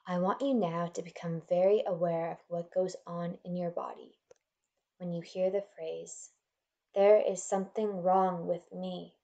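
Woman doing guided meditation, kinda low warm mellow voice, gentle and soothing, leaves little pauses. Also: fairly even intonation, gravelly, lo-fi sound.

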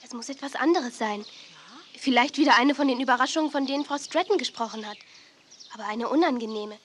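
A woman's voice: high-pitched, fairly quick, lightly acted. A woman playing a caring, sensible young dog owner in a children's audio play, speaking earnestly. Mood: concerned. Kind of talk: 1980s German children's audio play acting. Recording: faint noise, old cassette audio play.

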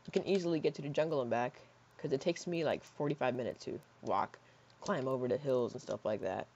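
A hoarse young man in his teens rambling casually while playing a building game, with lots of fillers and soft mutters. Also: mid-range voice, brisk, a little hiss or hum. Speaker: gaming YouTuber. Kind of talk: casual gameplay commentary. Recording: slightly dull lo-fi mic.

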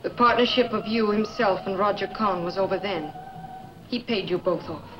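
A woman's voice: mid-range voice, normal speed, natural voice. An actress playing a poised young woman who questions a blackmailer warily. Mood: guarded. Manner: guarded, probing questions. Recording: dull old film audio with music behind.

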